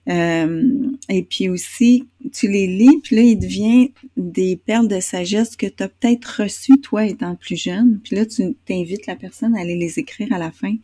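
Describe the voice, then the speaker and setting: Chatty Québécoise host, mellow voice. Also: female voice, medium pitch, a little slow, few pauses, dry recording. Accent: Québécois French. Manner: warm, chatty, hesitant with fillers. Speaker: interview host. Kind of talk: casual interview chat.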